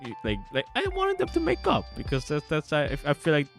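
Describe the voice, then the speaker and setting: Halting, excitable male streamer over music. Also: a little high, vocal fry. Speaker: game developer and streamer. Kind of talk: casual livestream commentary. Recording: music behind the voice.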